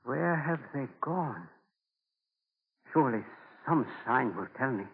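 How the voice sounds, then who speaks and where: A man's voice: medium pitch, animated, slow pace, slightly rough, exaggerated voice. Solemn, homesick Native man, old radio. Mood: urgent searching. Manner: solemn, simple formal phrasing. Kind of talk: 1940s radio drama acting. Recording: thin, narrow-band 1940s radio broadcast.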